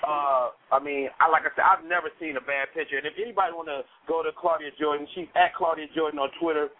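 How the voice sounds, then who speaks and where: A man's voice: a little high, fairly even intonation, fast talker. A man in his forties hosting a radio interview, enthusiastic and stumbling over repeated words. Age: 40s. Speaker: radio show host. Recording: tinny phone-line sound.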